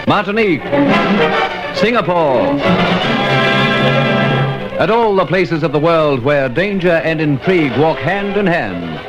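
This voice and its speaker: A man introducing an old radio adventure, dramatic and measured, on a vintage recording. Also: a little high, rough recording. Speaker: announcer. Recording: vintage radio, loud orchestra behind. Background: loud orchestral music.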